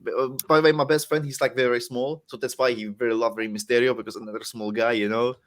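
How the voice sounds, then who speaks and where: A man's voice: medium pitch, steady tone, quick pace. Young Czech-accented man, casual guest, loose rambling delivery, self-mocking. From Czech Republic. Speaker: guest wrestler. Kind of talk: casual podcast chat.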